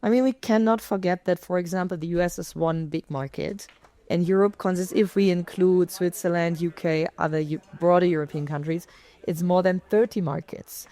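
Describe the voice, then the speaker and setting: A woman speaking on a conference panel, explaining points in a measured way with frequent "I mean" and "um". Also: fairly low pitch, steady tone, warm tone. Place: conference stage.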